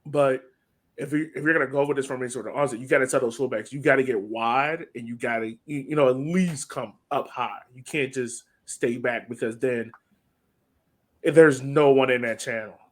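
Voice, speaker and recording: Male podcast co-host, informal sports talk, mid-pitched voice, restates points for emphasis. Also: moderate pace. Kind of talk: casual sports analysis chat.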